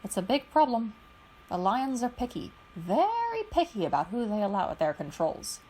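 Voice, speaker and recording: A woman's voice: on the high side, normal speed, slight background noise, rough recording. A woman voicing a chatty, fussy older male alien adviser who rambles on in a storybook reading. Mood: rambling. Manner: rambling and animated.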